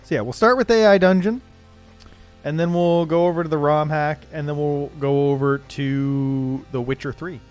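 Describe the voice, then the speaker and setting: A man hosting a casual game livestream, thinking aloud haltingly and improvising story prompts as he goes. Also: a little high, steady tone, darkish tone, soft background music. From Canada. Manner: halting, thinking aloud, frequent throat clearing. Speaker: livestream host.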